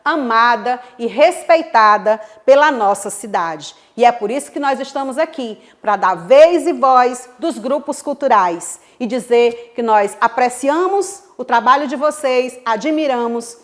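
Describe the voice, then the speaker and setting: A woman from Brazil, a history teacher giving a televised school lesson in an expressive, emphatic way. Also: a little high, unhurried, hardly any pauses. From Northeast Brazil.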